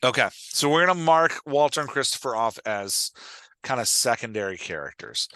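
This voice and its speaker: A man's voice: medium pitch, expressive, normal speed, pushed and bright, smooth, no room sound. A man hosting and coaching in a conversational, explanatory way, often checking that the listener follows. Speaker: podcast host and writing coach.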